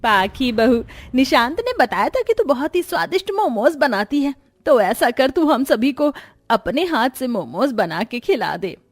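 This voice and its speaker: Woman as a bossy high-voiced cartoon mother-in-law. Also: big pitch swings, unhurried, heavily acted. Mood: commanding. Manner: sharp-tongued, commanding. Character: bossy, scolding middle-aged mother-in-law. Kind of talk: cartoon voice acting.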